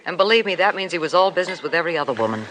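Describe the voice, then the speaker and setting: A woman's voice: on the low side, expressive, rapid-fire, a bit bright, low-quality recording. An actress in a 1950s radio drama playing a worldly, frank woman in love with a married man, with a tough, wisecracking manner. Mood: wry.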